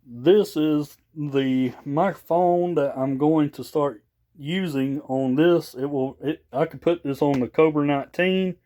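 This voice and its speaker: A man's voice: on the high side, unhurried, warm tone. Folksy man, a little tired. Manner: folksy, rambling, conversational. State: a little tired after work. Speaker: vlogger showing off a new CB radio. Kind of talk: casual unscripted product show-and-tell.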